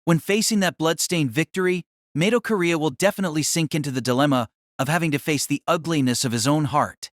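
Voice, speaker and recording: A man's voice: fairly high pitch, brisk, dry recording, studio quality. A man narrating a novel as an audio drama in a dramatic scripted style.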